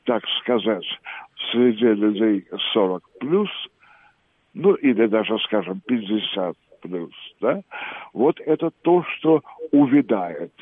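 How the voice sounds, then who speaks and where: A man's voice: fairly low pitch, big pitch swings, slow, slightly rough. A man calling in to a radio show, speaking at length in an ornate, digressive way with frequent hesitations. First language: Russian. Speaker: radio caller. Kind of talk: call-in radio monologue. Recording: tinny phone line.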